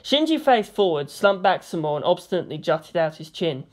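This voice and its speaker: A woman's voice: lowish voice, big pitch swings, brisk. A woman reading fan fiction aloud as narrator, in a dramatic storytelling style.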